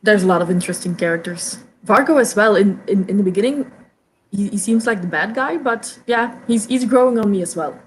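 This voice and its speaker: A young woman from Belgium with Dutch as her first language chats over a video call mic as host of a reading session. Her voice sits at an ordinary middle pitch with a light hiss behind it, and she often repeats a word before getting a sentence going. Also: a little fast, poor audio. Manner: chatty, repeats words when starting sentences, laughs often. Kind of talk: casual chat on a video call.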